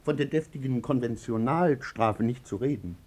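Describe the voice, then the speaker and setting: An actor in a German radio drama playing a wounded, vain husband haunted by shame, halting and intense. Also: male voice, medium pitch, darkish tone, lightly acted. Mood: urgent scolding.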